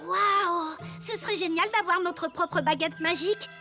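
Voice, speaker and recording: Squeaky twin fairy girls for a cartoon, loud music behind. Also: fairly even intonation, measured pace. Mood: chirpy. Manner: chirpy, squeaky. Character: twin little girl fairies, chirpy. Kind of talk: dubbed cartoon acting.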